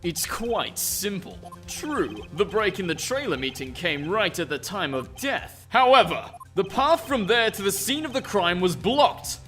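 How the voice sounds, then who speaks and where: Smug courtroom prosecutor played by a man, pompous and formal, high bright voice, music underneath. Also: sing-song, a little slow, exaggerated voice. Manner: pompous, formal, theatrical delivery. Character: haughty, smug male prosecutor, formal and cutting. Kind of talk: anime-style video game fandub acting. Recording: music bed behind the voice.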